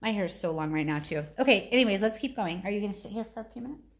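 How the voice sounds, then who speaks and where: A woman's voice: mid-range voice, lively intonation, rapid-fire. A middle-aged woman reading a story aloud expressively, slipping into casual, conversational talk. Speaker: story reader.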